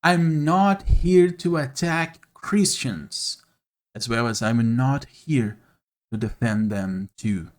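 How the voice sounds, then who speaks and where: A man from Brazil with a Brazilian Portuguese accent, a non-native English speaker, hosting an unscripted monologue straight to camera, earnest and slow with frequent pauses. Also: medium pitch, a little hiss or hum. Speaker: YouTube channel host. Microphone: camera mic, speaking to camera.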